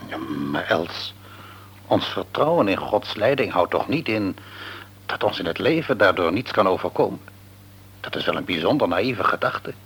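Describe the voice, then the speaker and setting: An actor playing a middle-aged, devout father in a radio drama, reasoning in a measured way. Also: low-pitched, animated, fairly bright tone, breathy edge, heavily acted. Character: thoughtful, pious father.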